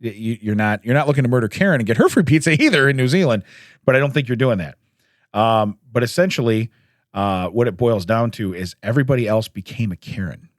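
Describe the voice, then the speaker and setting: A man, a podcast co-host, telling odd news stories in a casual, jokey way with chuckles and throat-clearing. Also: medium pitch, lively intonation, quick pace, no room sound, studio quality.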